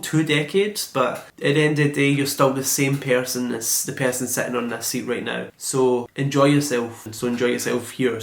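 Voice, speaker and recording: Young dude vlogging about his birthday, earnest and rambly, kinda flat and gravelly. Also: medium pitch, echoey room. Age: young adult, about 18 to 19. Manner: earnest and reflective, rambling with many "like"s. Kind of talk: earnest self-reflective birthday vlog. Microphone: camera mic.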